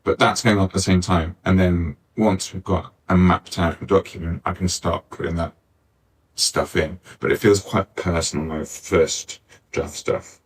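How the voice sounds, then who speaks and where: Deep raspy guy on a live panel, recorded kinda far away. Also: steady tone, unhurried. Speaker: panellist, pantomime scriptwriter. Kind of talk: informal live panel discussion. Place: arts centre venue, picked up from afar.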